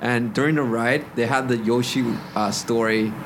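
A man's voice: mid-range voice, steady tone, moderate pace. A man co-hosting a casual chat podcast, rambling with plenty of filler words and swearing. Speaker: podcast co-host. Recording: noisy background hiss.

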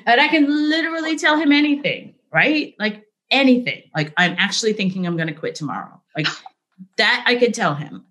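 A middle-aged woman speaking as an interview guest, telling candid work stories in a lively, conversational way, often asking "right?". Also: on the high side, a little fast. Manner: animated, frequent "right?" and "like", laughs easily. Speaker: interview guest, business leader.

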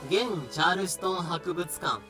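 A man playing a dry, pedantic explainer who delivers clipped, deadpan asides and history notes in a scripted voice drama. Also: high-pitched, moderate pace, lightly acted. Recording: music behind the voice.

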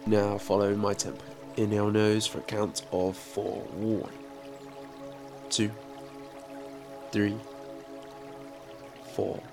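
A man with a stuffy, congested voice leads a slow guided breathing meditation in a low, even tone. Soft music plays clearly behind him. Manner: calm, unhurried counting and instruction. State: congested from a head cold. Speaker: meditation guide and podcast host. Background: soft background music.

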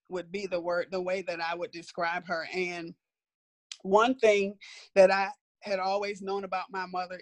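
A middle-aged woman with a raspy voice and a sore throat, talking reflectively with her daughter in a casual family conversation. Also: mid-range voice, dry recording. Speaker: mother being interviewed by her daughter.

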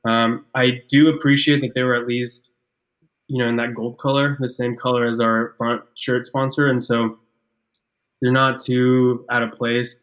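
A man's voice: mid-range voice, level tone, unhurried, a bit bright. A man from Ecuador, co-hosting a casual podcast, speaking thoughtfully with frequent restarts and repeated words. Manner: thoughtful, stammers and restarts often. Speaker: podcast co-host, clothing brand founder. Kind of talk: casual chat.

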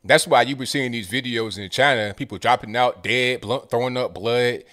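A man hosting a call-in show, taking a caller and then giving his opinions in a relaxed, measured way. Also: medium pitch. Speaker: host.

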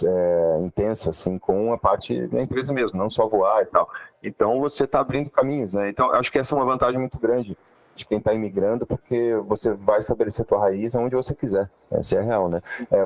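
A man's voice: lowish voice, a little mellow, no room sound, poor audio. A man hosting a podcast, chatting and asking questions in a slangy, easygoing way. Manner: slangy and chatty, lots of "cara" and "pô". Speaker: podcast host. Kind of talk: casual podcast interview.

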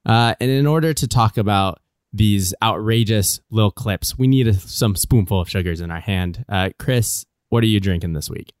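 A man with a low, smooth voice hosts a relaxed podcast chat. He talks in an upbeat, teasing way, and the recording is close and dry with no room sound. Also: normal speed, dry recording. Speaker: podcast host. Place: warm guest room at home.

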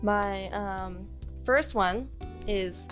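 A woman's voice: mid-range voice, drawn-out words, faint music behind. An American woman co-hosting a podcast in casual, comedic chat, rambling and giggly as she goes. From Maryland, United States. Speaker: podcast co-host.

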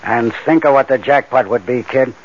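A man's voice: medium pitch, moderate pace, close to an everyday voice. A man acting a confident, scheming tough crook who gives instructions. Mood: confident, persuading. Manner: assured, instructing, tough-guy slangy. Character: Marty, confident scheming bank-job mastermind, tough crook. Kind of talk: 1940s radio crime drama acting. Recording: muffled old-time radio recording, background hiss.

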